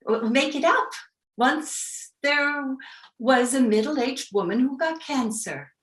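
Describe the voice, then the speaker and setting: Woman acting bossy older lady in a play reading, slow talker, echoey room. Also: sits high, animated, a bit bright, full character voice. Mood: bossy, coaxing. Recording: roomy, echoey sound.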